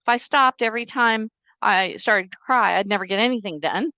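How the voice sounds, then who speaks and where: A woman's voice: mid-range voice, fairly quick, no room sound, muffled recording. A middle-aged woman whose first language is Czech, steadily telling her story as a podcast guest. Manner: earnest, steady storytelling with quoted voices. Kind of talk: interview storytelling.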